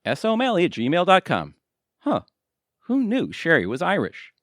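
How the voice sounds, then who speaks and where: An actor playing a good-humored middle-aged husband in an audio comedy, playful and easily flustered. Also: male voice, a little high, huge pitch swings, moderate pace, fairly bright tone, no room sound, studio quality. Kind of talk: audio theatre comedy acting.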